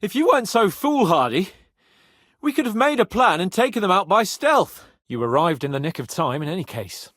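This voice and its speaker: An actor playing a brash, haughty young medieval nobleman in expressive, sardonic video game dialogue. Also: high voice, normal speed, dry recording, clean studio sound, exaggerated voice. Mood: conversational, wry.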